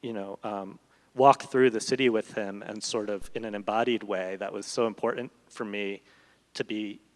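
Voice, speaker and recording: Halting man in a reverberant hall. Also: lowish voice, fairly even intonation. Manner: halting, digressive, searching for words. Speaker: literary translator and panel guest. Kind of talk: thoughtful on-stage literary conversation. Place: large hall, public event.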